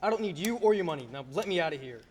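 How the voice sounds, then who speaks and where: Young man as earnest young husband, slightly high voice. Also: animated, racing, a little mellow. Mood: defiant. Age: young adult. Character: earnest, frustrated, principled young working husband. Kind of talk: filmed radio play acting. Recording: faint background noise.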